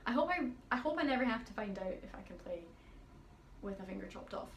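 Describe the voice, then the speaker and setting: A young adult woman from Scotland with a Highland Scottish accent, chatting upbeat through a Q&A as a pianist vlogger. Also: expressive, fast talker, echoey room, dull audio, a little hiss or hum. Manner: chatty and upbeat, reads out questions then answers. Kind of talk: casual Q&A chat.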